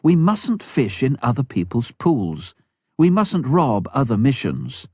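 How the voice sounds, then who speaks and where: A man reading a memoir aloud as scripted narration, with measured, deliberate phrasing. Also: medium pitch, very animated, dark tone, breathy edge, no echo, studio quality. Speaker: audiobook reader.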